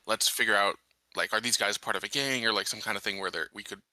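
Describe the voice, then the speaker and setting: A man's voice: lowish voice, fairly even intonation, fast, sharp tone, dry recording, rough recording. A man chatting casually as a tabletop game player, tentative and questioning in his phrasing.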